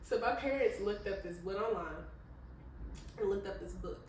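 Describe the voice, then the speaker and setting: A woman's voice: mid-range voice, moderate pace. Very echoey, distant American woman telling stories. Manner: animated retelling, acting out quoted dialogue; informal, swears freely. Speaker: podcast host. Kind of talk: casual conversational storytelling. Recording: very echoey room, distant mic, faint noise.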